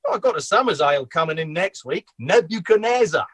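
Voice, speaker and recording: Proud, defensive male brewer for radio comedy, played by a man, high husky voice with lively swings. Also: a little fast, no echo.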